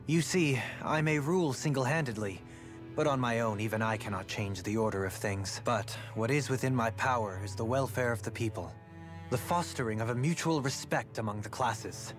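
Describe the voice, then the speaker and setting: An actor voicing a courteous, self-assured lord in a video game, speaking formally and eloquently in measured phrases. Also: male voice, mid-range voice, close to an everyday voice. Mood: smooth, persuasive. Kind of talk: video game cutscene acting. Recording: loud music behind the voice. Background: music.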